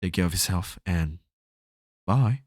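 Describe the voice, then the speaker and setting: A man streaming a game, reading the dialogue aloud at a deliberate pace, then chatting playfully to his viewers. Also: low voice, lively intonation, darkish tone, no echo, clean studio sound. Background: game music.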